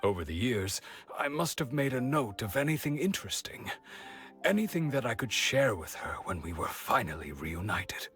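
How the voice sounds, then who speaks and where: A man's voice: medium pitch, very animated, fairly bright tone, faint music behind. An actor playing a wistful, resigned middle-aged village man in a video game, musing and patient. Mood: musing, nostalgic. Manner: slow, musing, sighing delivery.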